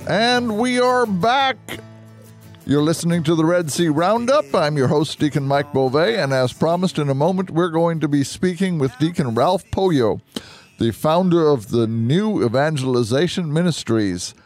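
A man speaking as a deacon and radio host, conversational and earnest, explaining things deliberately. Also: a little high, expressive, soft background music. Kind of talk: conversational religious radio hosting.

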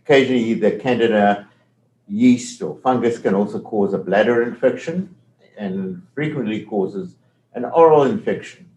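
An older man with a South African accent, a medical professor explaining things in an interview with measured, careful talk and frequent restarts. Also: fairly low pitch, darkish tone, roomy sound, dull audio.

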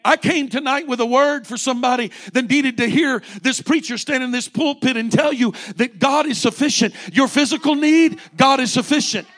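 High-voiced man preaching fervently. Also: normal speed, few pauses, fairly bright tone. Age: 40s. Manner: fervent preaching with rising repeated refrains. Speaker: pastor. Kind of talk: sermon.

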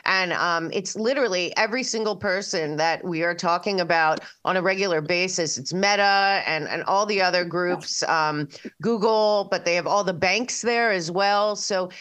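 A woman's voice: lowish voice, moderate pace, fairly bright tone, smooth. A woman hosting a show, giving conversational political commentary in an emphatic, rambling way. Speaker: show host.

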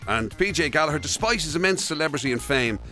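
An Irish man banters casually and comically as a radio co-host. His voice sits slightly high and moves very animatedly in pitch. Faint music plays behind him. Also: animated, quick pace, sharp tone. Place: radio studio.

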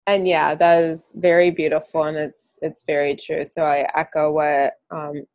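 A woman hosting a podcast in a soft-spoken, reflective way, often pausing with "um". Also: deep voice, level tone, no room sound. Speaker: podcast host. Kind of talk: casual interview chat.

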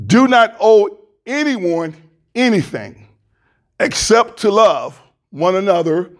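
A man preaching as a pastor, praying and reading scripture in a fervent, deliberate way with a loud voice, into a handheld mic in a church. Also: high-pitched. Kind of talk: sermon with prayer and scripture reading. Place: church sanctuary. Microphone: handheld microphone.

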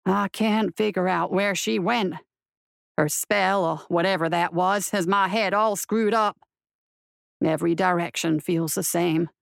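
Woman doing a low, gruff, weary marshal. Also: steady tone, a little slow, no echo. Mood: weary, dry. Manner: dry, grumbling, wry. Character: gruff, weary male marshal grumbling to his horse. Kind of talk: audiobook character voice.

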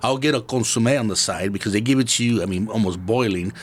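A man's voice: lowish voice, fast. A middle-aged man from East Los Angeles with a Chicano accent, chatting casually as a podcast host in slangy, profane English sprinkled with Spanish. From East Los Angeles, born in Mexico. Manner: laid-back street slang peppered with Spanish and swearing.

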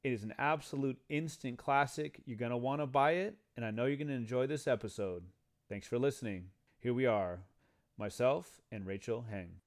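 A man's voice: mid-range voice, normal speed, no echo. Chatty male podcast host, dry sound. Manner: eager, chatty questions with restarts. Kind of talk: conversational interview. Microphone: remote call mic.

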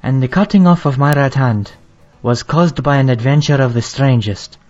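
Casting: male actor as proud young Baghdad merchant, mid-pitched, declamatory audio drama storytelling, faint music beneath. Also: moderate pace, a little mellow, natural voice. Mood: sorrowful, grave. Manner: formal, declamatory. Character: proud, sorrowful young merchant from Baghdad telling his tale. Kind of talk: theatrical audio drama storytelling in archaic English. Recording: dull lo-fi sound, faint music behind.

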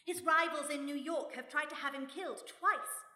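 A shrewd British lady detective in a radio play. Her voice is high and bright, with composed, dryly witty delivery. It rings in an echoey hall. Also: normal speed. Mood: resolute, dry. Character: shrewd, unflappable British mother turned amateur sleuth. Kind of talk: radio play mystery acting. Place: stage before a live audience. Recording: echoey hall, live stage recording.

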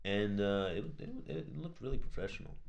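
A man's voice: on the low side, lively intonation, a little fast, vocal fry, dull audio. A man guesting on a podcast, chatting casually and rambling with lots of fillers. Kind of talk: casual chat.

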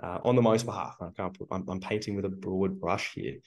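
Aussie guy on a podcast, really low voice, dry jokey opinions. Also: steady tone, a little fast, darkish tone. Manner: wry and opinionated, joking asides. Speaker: podcast co-host. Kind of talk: casual podcast chat.

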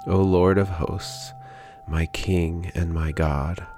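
A man leading a guided prayer meditation in a calm, gentle, unhurried manner with pauses. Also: low-pitched. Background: background music.

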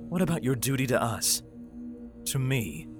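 A man's voice: mid-range voice, lively intonation, normal speed, faint music behind, close to an everyday voice. An actor playing an anxious, haunted father in a sci-fi audio drama, with an intense, theatrical delivery. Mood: pleading, desperate. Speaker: lead actor and narrator.

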